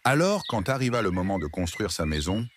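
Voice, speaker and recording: Deep-voiced man telling a children's tale. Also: brisk, a little hiss or hum. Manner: expressive, playful storybook delivery. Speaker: storyteller, narrator. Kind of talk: scripted narration of a children's tale.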